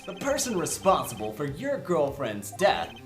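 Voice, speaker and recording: Male for young, wisecracking, nervous game attorney; very animated delivery, roomy sound with music underneath. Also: on the high side, moderate pace. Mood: exasperated, joking. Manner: joking, rambling, flustered. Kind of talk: comedic fan-dub game narration and dialogue.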